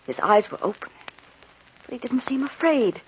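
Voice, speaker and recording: A woman's voice: on the high side, very animated, moderate pace, a little hiss or hum. An actress playing an anxious, devoted young woman full of dread, earnest and melodramatic in old radio horror style. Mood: hushed dread. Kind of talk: old-time radio horror acting and narration. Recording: muffled old radio recording.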